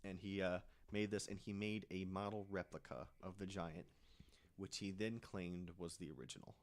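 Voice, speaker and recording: A man's voice: low voice, steady tone, normal speed. A man telling a story casually to friends as a podcast panelist, conversational and checking in with listeners as he goes.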